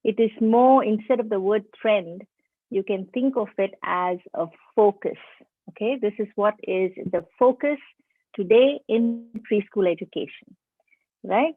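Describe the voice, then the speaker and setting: A woman's voice: mid-range voice, measured pace, no room sound. A middle-aged woman from India with a mixed Indian and Indonesian accent, giving a friendly online presentation to teachers and often checking in with her listeners. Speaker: preschool teacher trainer. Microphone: video call. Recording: dry, phone-like call audio.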